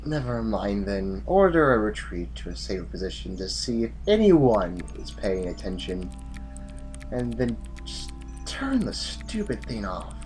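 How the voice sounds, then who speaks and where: An actor playing a weary, commanding general in an audio drama, with an authoritative, brooding way of speaking. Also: male voice, lowish voice, big pitch swings, a little slow, darkish tone, faint music behind. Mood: wry, resigned. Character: weary, commanding male general facing a losing war.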